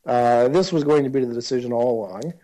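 Male podcast co-host, mellow dark tone, mid pitch, wry and halting, casual political talk. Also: rough recording.

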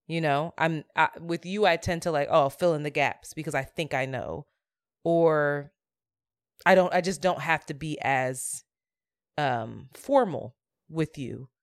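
A woman's voice: low voice, a little slow, no room sound, studio quality. A woman co-hosting a podcast, talking earnestly and reflectively in casual chat, thinking aloud as she goes. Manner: earnest and reflective, talks through her thoughts. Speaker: podcast co-host.

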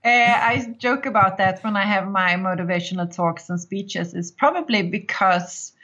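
A woman in her forties from Sweden with a Swedish accent, a podcast guest talking casually, pausing often with "uh" and laughing easily. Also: medium pitch, sharp tone, highs cut off. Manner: thoughtful, many "uh" pauses, laughs easily. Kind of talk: casual interview talk.

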